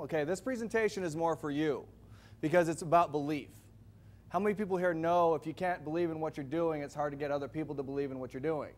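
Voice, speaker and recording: A man giving a loud, projecting sales presentation to a live audience, firing questions at the crowd. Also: on the high side. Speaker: product presenter.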